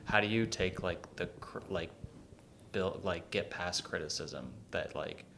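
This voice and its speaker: Man co-host, very deep gravelly voice, hesitant phrasing, clicky noisy recording. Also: a bit bright. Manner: halting, full of filler likes and restarts. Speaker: podcast co-host. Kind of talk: casual podcast question.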